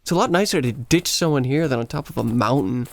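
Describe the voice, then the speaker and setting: An actor playing a lonely, embittered American schoolteacher in a radio play, self-mocking and dramatic, with an American accent. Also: male voice, mid-range voice, animated, fast, vocal fry. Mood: bitter, hurt.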